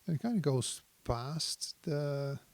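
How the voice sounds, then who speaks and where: A man giving a casual technical tutorial, thinking aloud in a hesitant, halting, analytical way. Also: fairly high pitch, lively intonation, vocal fry, heavy background noise. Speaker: synthesizer demonstrator.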